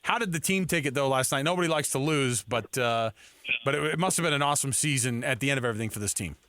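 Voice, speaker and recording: Bright, forceful male sports radio host. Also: mid-range voice, fast talker. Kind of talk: casual sports radio chat.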